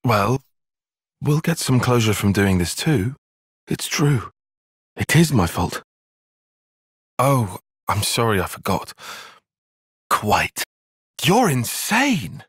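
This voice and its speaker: A man playing a cerebral, earnest young soldier and strategist in a video game, speaking in precise, formal, analytical language and quick to exasperation. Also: mid-range voice, big pitch swings, fairly bright tone, slightly rough, no echo, clean studio sound, exaggerated voice. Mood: somber, then exasperated. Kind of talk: video game cutscene voice acting.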